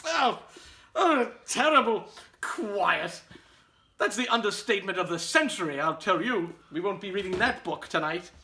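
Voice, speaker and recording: A man playing a fussy, theatrical children's storytime host who addresses children in an exclaiming, sing-song way, with a husky voice. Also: sits high, animated, unhurried, bright, forceful tone, dry recording, recorded from a distance, full character voice. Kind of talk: hammy comedic children's read-aloud.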